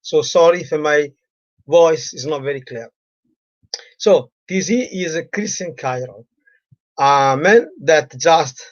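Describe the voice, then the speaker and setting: Man, sore throat, halting with fillers, slightly high voice, dull stream audio. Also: no echo. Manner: halting, many fillers, non-native grammar. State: has a cold and sore throat. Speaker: relationship coach. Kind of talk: live-streamed solo talk.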